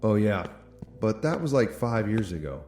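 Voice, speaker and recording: A man's voice: fairly low pitch, big pitch swings, moderate pace, a little mellow. Anxious, skeptical man in echoey space actor. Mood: skeptical, probing. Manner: skeptical, pressing, easily rattled. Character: anxious, questioning townsman prone to panic. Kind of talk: audio drama acting. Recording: echoey tunnel-like reverb with music.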